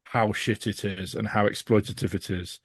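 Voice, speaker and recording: A man's voice: lowish voice, fairly even intonation, normal speed, breathy edge, no room sound, clean studio sound. A man speaking as a podcast guest in casual conversation, blunt, opinionated and sweary.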